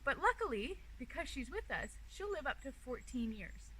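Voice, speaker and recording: A woman's voice: sits high, big pitch swings, moderate pace, bright, forceful tone, poor audio. A woman wildlife educator hosting a children's storytime outdoors, explaining and narrating with emphatic storytelling phrasing. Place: outdoors beside an animal enclosure. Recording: outdoor recording with faint background noise.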